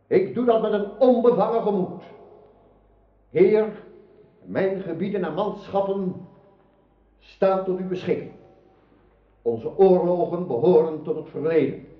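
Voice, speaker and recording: An actor playing a proud lord in a radio drama, declaiming in a formal, ceremonious way. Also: male voice, high-pitched, a little slow, pauses often. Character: proud male lord pledging formal loyalty. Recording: roomy, dull archived radio play.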